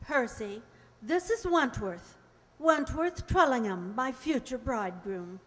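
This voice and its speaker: A woman's voice: fairly high pitch, expressive, slow pace. An actress playing a spirited, emotional young noblewoman about to marry, in a radio play. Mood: flustered, pleading. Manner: earnest, emotional, theatrical. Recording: echoey hall, long reverb.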